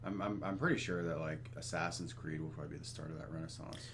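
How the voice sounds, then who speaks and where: A man's voice: extremely low pitch, fairly even intonation, fast talker, noisy background. A man co-hosting a casual gaming chat, agreeing in a laid-back way and swearing freely. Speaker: podcast co-host.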